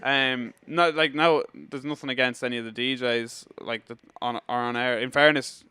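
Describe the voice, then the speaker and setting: Young Irish man, clear and even-toned. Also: medium pitch, normal speed. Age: young adult. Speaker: band member being interviewed. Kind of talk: casual interview chat.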